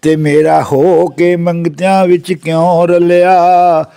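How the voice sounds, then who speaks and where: A man with a Punjabi accent recites folk verse as a sung chant. His voice is high, smooth and resonant. He moves extremely slowly, stretching out the end of each line. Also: little pitch movement, warm tone. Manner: chanting, melodic, with long drawn-out line endings.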